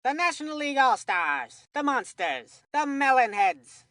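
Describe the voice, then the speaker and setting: High, bright cartoon baseball commentator. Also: female voice, very animated, measured pace, no room sound, exaggerated voice. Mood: flat announcing. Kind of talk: cartoon sports game commentary voice lines.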